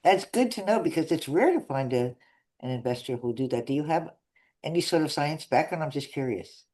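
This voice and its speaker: A man's voice: fairly high pitch, lively intonation, brisk, a little mellow. A man hosting an interview on a video call, eager and reacting with short exclamations. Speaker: host.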